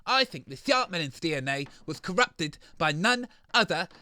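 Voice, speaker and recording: Self-important environmentalist, played by a man, argumentative, high and sharp, for audio comedy. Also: very animated, moderate pace, dry recording, heavily acted. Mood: scornful. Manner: pompous, argumentative. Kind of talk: comedy audio sketch acting.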